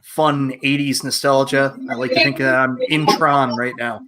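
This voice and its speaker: A man's voice: medium pitch, fairly even intonation, normal speed, bright, forceful tone. A man chatting casually as a co-host on a livestream from his game room. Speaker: show co-host. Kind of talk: casual chat.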